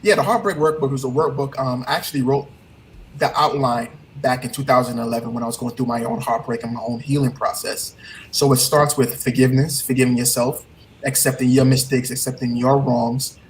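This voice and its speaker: A man's voice: medium pitch, a little hiss or hum, low-quality recording. A man with a Trinidadian accent, a heartbreak coach speaking as a guest in an earnest, motivational way, often repeating his key points. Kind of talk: casual interview talk.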